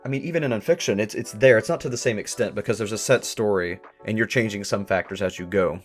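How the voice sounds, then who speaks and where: A man in a casual podcast discussion, explaining with quick enthusiasm. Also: lowish voice, faint music behind.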